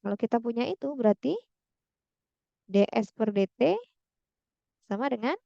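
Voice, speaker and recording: A woman's voice: fairly high pitch, animated, moderate pace, gravelly, warm tone, no echo, highs cut off. A woman tutoring math through a worked example, thinking aloud and checking with her listeners as she goes.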